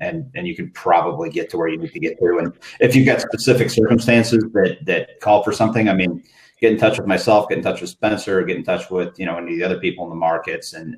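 A man's voice: low voice, echoey room, poor audio. A man speaking as a panelist on a webinar over a video call, conversational, with frequent restarts. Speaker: panelist, market specialist.

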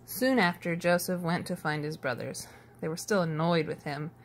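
A woman reading a children's picture book aloud in an expressive storytelling manner, with a slightly husky voice. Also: fairly low pitch, normal speed, a little hiss or hum. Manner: animated, storytelling delivery. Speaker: storybook reader.

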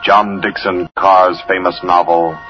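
A man announcing a 1940s radio series from a script in a grand, dramatic, deliberate manner. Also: low-pitched, little pitch movement. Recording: dull old radio broadcast, loud music behind.

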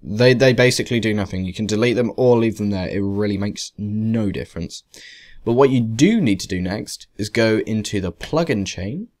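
A man giving an informal software tutorial in a conversational way, with wry, emphatic asides. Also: on the low side, lively intonation, normal speed. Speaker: tutorial presenter. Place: voice-over to a screen capture.

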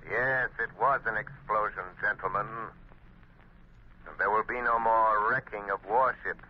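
An actor playing an ominous, commanding crime-fighting avenger in a 1930s radio drama, grave and taunting. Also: male voice, fairly low pitch, fairly even intonation, unhurried. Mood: grimly triumphant. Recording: muffled, hissy 1930s radio broadcast.

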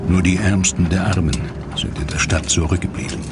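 A man's voice: low-pitched, fairly even intonation, fairly quick, very noisy. A man reading a scripted documentary narration as its narrator. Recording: loud music under voice. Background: loud music.

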